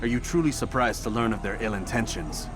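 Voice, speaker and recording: An actor playing a stern, proud young assassin in a video game, terse and resolute. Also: male voice, lowish voice, brisk, lightly acted. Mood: earnest, firm. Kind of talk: video game cutscene acting.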